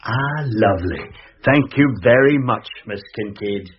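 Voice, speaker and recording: A man's voice: medium pitch, expressive, a little slow, lo-fi sound, a little hiss or hum, lightly acted. An actor playing a shy man dressed as Father Christmas in a radio drama, who stammers and chuckles politely.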